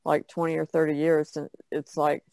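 Female artist guest, creaky voice, dry close recording, rambling casual talk. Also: sits low, monotone, normal speed, a little mellow, no echo. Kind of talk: casual chat.

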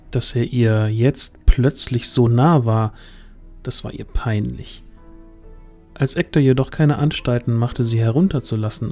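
A man's voice: mid-range voice, mellow. A man narrating a scripted fantasy audio drama as its storyteller. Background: music.

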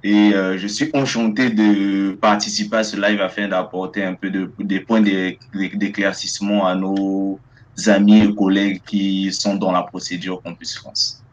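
Young man from the Republic of the Congo, lowish voice, polite and measured, livestream guest, headset on a video call. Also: flat delivery. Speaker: guest student. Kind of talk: formal self-introduction on a livestream. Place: small indoor room. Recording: muffled video-call audio, faint hiss.

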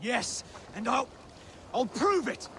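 A young Saxon heir to a throne in a video game, high-voiced and earnest. He is stubbornly determined, with clear ambient noise behind him. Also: big pitch swings, slow, heavily acted. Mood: defiant.

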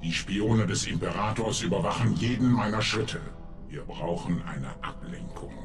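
A man playing a menacing dark lord from a video game, speaking sternly and deliberately to his secret apprentice. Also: on the low side, animated, soft background music. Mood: cold, commanding. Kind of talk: dramatic game dubbing. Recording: echoey, faint background noise.

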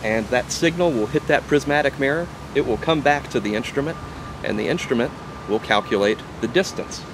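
A man's voice: medium pitch, a little fast, background noise. A man in his thirties, an archaeologist, explaining his work in an outdoor interview. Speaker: archaeologist, surveyor. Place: outdoors by a wooden fence and trees.

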